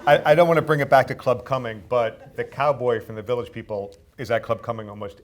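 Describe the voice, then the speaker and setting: A man's voice: medium pitch, steady tone, fairly quick. Playful man on a panel. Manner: playful, laughs along. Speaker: showrunner on a panel. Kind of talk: casual panel talk.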